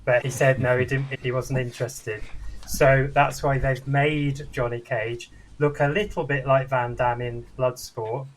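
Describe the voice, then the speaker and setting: A man's voice: medium pitch, flat delivery, unhurried, low-quality recording. A man in casual, explanatory chat as a podcast panellist, passing on bits of trivia and anecdote. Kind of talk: casual explanatory chat about games and films.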